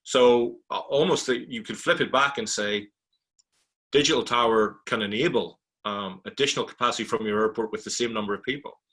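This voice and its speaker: A man speaking as an industry panelist, explaining points in a measured, conversational way. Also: medium pitch, a bit bright, breathy edge. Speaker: technology provider, panelist.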